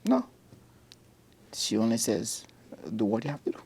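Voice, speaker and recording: A man from Trinidad with a mixed Vincentian and Trinidadian accent, giving short, soft-spoken answers as a patient guest in an interview. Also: medium pitch, sing-song, moderate pace, slight background noise. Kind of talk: interview conversation.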